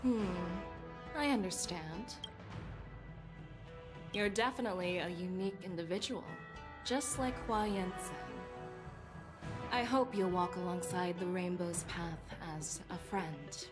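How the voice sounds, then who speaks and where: A woman's voice: lowish voice, a little slow, pauses often, music in the background, lightly acted. An actress playing a composed, confident female general in a video game, speaking in an assured, formal, commanding way. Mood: approving. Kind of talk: video game voice acting, story dialogue.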